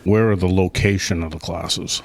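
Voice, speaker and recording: A man in the audience asking slow, deliberate questions of the panel. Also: low-pitched, fairly even intonation, creaky. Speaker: audience member.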